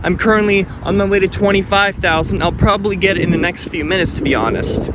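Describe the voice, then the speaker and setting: A man's voice: high-pitched, fast, very noisy. Chatty young-sounding man filming himself. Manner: chatty, upbeat, rambling with fillers and restarts. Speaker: vlogger. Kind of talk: casual on-camera step updates.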